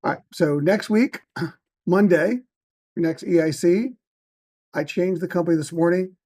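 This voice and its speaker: A middle-aged man running through class announcements in a lecture room. His voice sits fairly high but has a warm, mellow tone. He talks briskly and keeps checking in with short tag questions. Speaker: professor.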